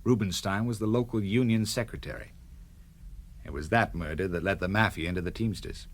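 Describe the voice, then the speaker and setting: A resolute American senator in a radio drama. The voice is low, and he speaks in a cool, firm, uncompromising way with a hard edge of principle. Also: brisk. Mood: cold resolve.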